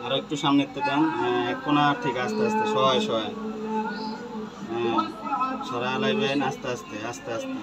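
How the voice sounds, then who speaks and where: A man's voice: mid-range voice, sing-song, normal speed, over loud music. A man with a colloquial Bangladeshi accent coaching a student hands-on as a repair trainer, in short, repeated commands. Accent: Bangladeshi colloquial Bengali.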